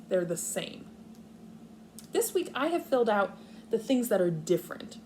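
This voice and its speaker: Lady kindergarten teacher doing a read aloud, thinks out loud step by step, really up and down pitch, bit of noise behind her. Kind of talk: read-aloud lesson for young children.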